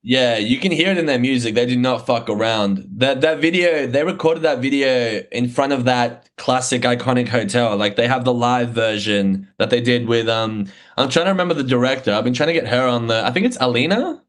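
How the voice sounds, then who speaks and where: A man's voice: mid-range voice, expressive. Enthusiastic slangy podcast host man. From Argentina. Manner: enthusiastic, slangy, quick agreement. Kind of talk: casual interview chat.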